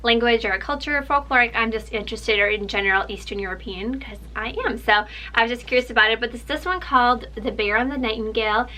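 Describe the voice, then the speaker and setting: A young woman with Russian as her first language, talking through a casual book haul. Her voice is bright and a little high, and she sounds eager and chatty, with plenty of "like" and "um" in her talk. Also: fast talker, hardly any pauses, poor audio. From Ukraine. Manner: chatty, excited, full of "like" and "um". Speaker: book vlogger.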